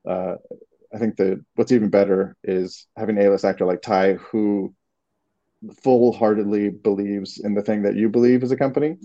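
A man's voice: lowish voice, fairly even intonation, normal speed, mellow, muffled recording. A man from Canada with a Canadian accent, answering interview questions casually and hesitantly, with many restarts. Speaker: creative director, guest.

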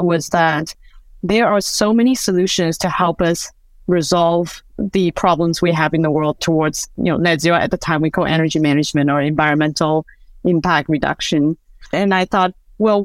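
A woman's voice: on the low side, no room sound. Thoughtful woman from Taiwan, dry close sound. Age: 40s. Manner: thoughtful, with restarts and fillers. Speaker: podcast guest. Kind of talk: conversational storytelling.

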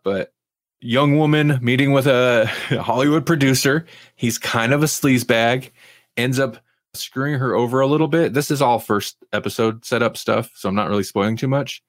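A man's voice: mid-range voice, dry recording, studio quality. A man hosting a TV review podcast in casual chat, rambling and informal with an amused manner. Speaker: podcast host reviewing TV shows.

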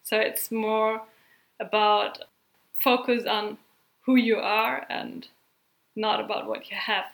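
A young woman whose first language is German, answering interview questions in a thoughtful, halting way and laughing often. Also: mid-range voice, vocal fry, a bit bright, rough recording. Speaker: athlete guest.

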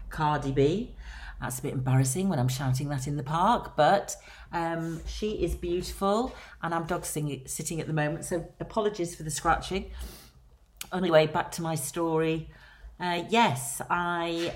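A middle-aged woman with a Lancashire accent, chatting casually as a podcast guest and filling pauses with ums. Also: deep voice, slight background noise. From England. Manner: chatty, rambling, many ums. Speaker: guest, celebrity agent.

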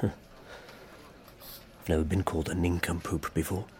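An actor playing a nervous, earnest junior investigator in an audio drama, hesitant and stammering in a husky voice. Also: male voice, deep voice, steady tone, slow pace, darkish tone, slight background noise. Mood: meek.

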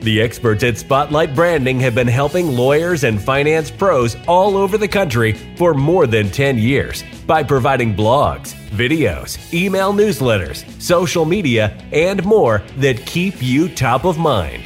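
A man's voice: mid-range voice, sing-song, measured pace, few pauses. Male announcer reading a sponsor spot. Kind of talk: scripted sponsor read. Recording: voice over faint background music.

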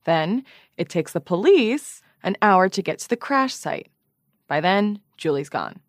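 An actress playing a prim, skeptical journalist who hosts an investigative podcast, with a guarded, dryly exasperated manner. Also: female voice, medium pitch, sing-song, unhurried, no room sound, clean studio sound. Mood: serious, narrating. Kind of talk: scripted podcast narration and interview.

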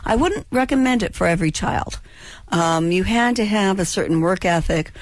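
A woman's voice: medium pitch, animated, normal speed, no echo. A woman in her sixties from California, a former child actor chatting and joking as a radio guest, with a slight lisp. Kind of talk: casual radio interview chat.

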